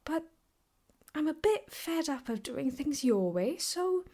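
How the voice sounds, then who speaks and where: Woman acting a slow-talking, obsessive yandere ex-girlfriend. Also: sits high, very animated, dark tone, exaggerated voice. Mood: possessive, menacing. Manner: slow, teasing, often slipping into breathy whispers. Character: obsessive possessive yandere ex-girlfriend kidnapper, sweetly menacing. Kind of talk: improvised ASMR roleplay, addressing the listener.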